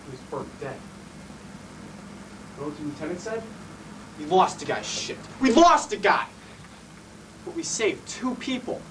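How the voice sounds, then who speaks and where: Intense, blunt city fireman, played by a man, swearing freely, big pitch swings, stage monologue picked up from a distance. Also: slight background noise. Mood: bitter, shaken. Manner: profane, blunt, colloquial storytelling. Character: intense, foul-mouthed city fireman and former cop, male.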